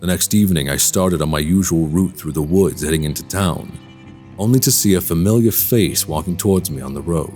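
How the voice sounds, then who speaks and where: An actor playing a polite, troubled man suspected of being a vampire in a radio drama, speaking in measured, formal storyteller phrasing. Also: deep voice, darkish tone, soft background music, close to an everyday voice. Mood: brooding, uneasy. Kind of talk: immersive radio drama acting and narration.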